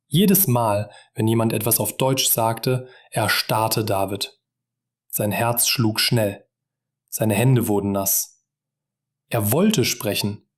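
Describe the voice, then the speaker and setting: A man narrating a simple story for German learners, speaking directly and encouragingly to the listener. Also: mid-range voice.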